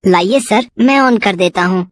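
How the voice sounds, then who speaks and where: A woman's voice: medium pitch, unhurried, natural voice. A woman voicing a bragging young schoolboy in a cartoon story. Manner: bragging, sly. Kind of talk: cartoon voice acting.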